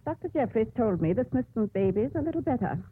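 A woman's voice: on the high side, very animated, quick pace, background noise. An actress playing a stern, authoritative Mother Superior in a 1950s radio drama. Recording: muffled old radio broadcast with music.

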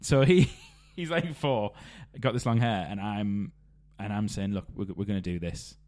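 A man's voice: lowish voice, moderate pace, darkish tone. A man hosting a podcast, rambling through anecdotes and laughing mid-sentence. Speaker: podcast host. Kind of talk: casual chat.

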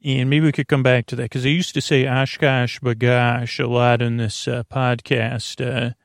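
A man's voice: mid-range voice, flat delivery, moderate pace, dry recording, studio quality. A man hosting a sleep podcast, rambling in a hesitant, meandering way with lots of fillers and restarts. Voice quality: creaky. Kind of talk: rambling bedtime monologue.

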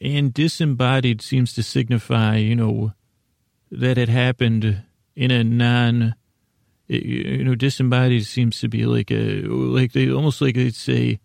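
A man hosting a sleep podcast, delivering a meandering, halting bedtime monologue full of self-interruptions and deadpan, gently silly tangents. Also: medium pitch, gravelly, no room sound, clean studio sound. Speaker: podcast host.

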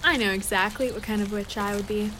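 A woman's voice: mid-range voice, fairly even intonation, quick pace, a bit bright, a little hiss or hum, lightly acted. A woman acting in an audio drama as a brash, playful, crude young female werewolf. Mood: joking, smug.

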